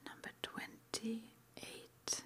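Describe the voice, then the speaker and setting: A woman doing an ASMR roleplay in a breathy, unvoiced whisper, with a gentle, unhurried manner. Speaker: ASMR roleplay performer playing a witch school interviewer.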